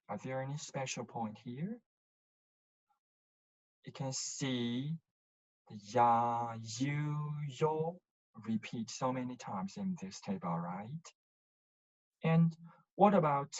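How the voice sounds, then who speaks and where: A language teacher gives a pronunciation lesson in a slow, measured way. The delivery is instructive, with syllables drilled one by one and short checking questions along the way. The audio is somewhat dull and lo-fi. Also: medium pitch, darkish tone.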